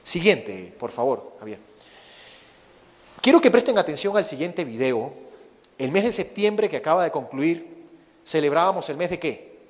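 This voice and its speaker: A Venezuelan man with a Venezuelan Spanish accent, a missionary preaching and praying fervently, slowing to stress his words. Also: on the high side, very animated. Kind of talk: sermon with opening prayer. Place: church sanctuary. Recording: long reverb of a church hall.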